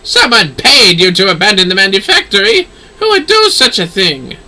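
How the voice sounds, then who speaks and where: A man's voice: sits high, very animated, moderate pace, gravelly, sharp tone, exaggerated voice, low-quality recording. A man voicing an eloquent, effusive nobleman from a fantasy game, with florid, theatrical phrasing. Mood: indignant. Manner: florid, theatrical, formal speech. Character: flamboyant, eloquent nobleman, effusive patron of a workshop. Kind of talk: fantasy game dialogue read aloud.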